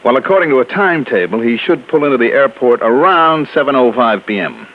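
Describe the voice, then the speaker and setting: A man plays a breezy American freelance investigator from an old radio drama. His mid-pitched voice swings widely and theatrically, punchy and wry. The recording is dull and dated, with music behind it. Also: lively intonation, a little fast, close to an everyday voice. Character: breezy, wisecracking male freelance insurance investigator. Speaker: lead radio actor. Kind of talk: mid-century American radio detective acting.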